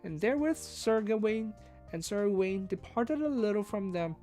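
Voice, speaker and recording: A woman streamer reading a classic novel aloud as expressive read-along narration. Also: medium pitch, moderate pace. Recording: faint music behind the voice.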